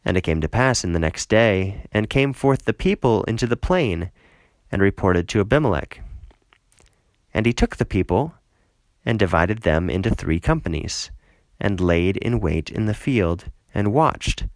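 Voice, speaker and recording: A man narrating a scripted Bible reading in a measured, solemn way. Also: lowish voice, expressive, dry recording.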